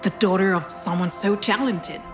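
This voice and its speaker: An actress playing a polite, tactful hospital professional in video game dialogue. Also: female voice, mid-range voice, brisk, lightly acted. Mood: tactful, admiring. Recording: music behind the voice.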